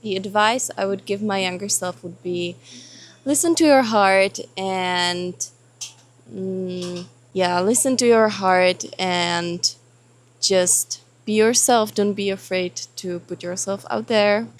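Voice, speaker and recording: A woman of twenty-four giving relaxed advice, searching for words. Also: medium pitch, slow pace. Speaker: trip participant.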